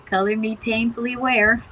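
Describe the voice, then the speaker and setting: Casting: actress as exasperated, sardonic mother, fairly high and cutting voice, stage-play realism. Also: pushed and bright, a little hiss or hum, poor audio. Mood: sardonic, indignant. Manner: dry, sarcastic, exasperated. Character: frazzled, sardonic mother of a grown military son. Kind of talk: naturalistic stage-play dialogue.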